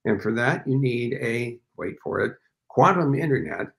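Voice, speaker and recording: A man's voice: mid-range voice, expressive, normal speed, gravelly. An older man speaking as an interview guest over a video call from a home office, explaining thoughtfully with frequent fillers. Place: home office with framed pictures, indoors. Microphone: video call webcam.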